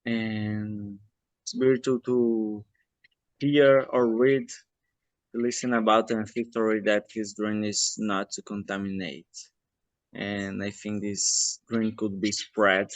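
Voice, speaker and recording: A man interviewing an author, speaking slowly and earnestly and addressing the viewers directly. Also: lowish voice, level tone, dry recording, rough recording. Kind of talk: interview questions.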